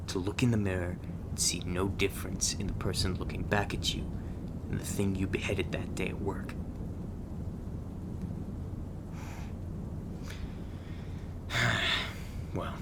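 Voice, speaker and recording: A low-pitched, gruff veteran monster hunter, acted out by a man for an intimate audio roleplay. He is blunt and emotionally closed off, talking haltingly with dry sarcasm. A clear rumbling noise like a storm sits behind the voice. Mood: somber, resigned. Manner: blunt, halting, sardonic, sighing between thoughts. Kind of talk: ASMR roleplay, intimate one-sided dialogue. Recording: noisy, rumbling thunderstorm-like background sound.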